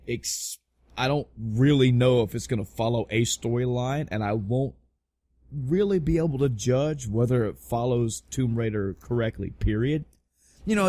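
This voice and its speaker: A man's voice: medium pitch, a little mellow, dry recording, studio quality. A man hosting a casual podcast about pop culture, talking in an emphatic, rambling way with plenty of swearing. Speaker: podcast host. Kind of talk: casual podcast chat about video game movies.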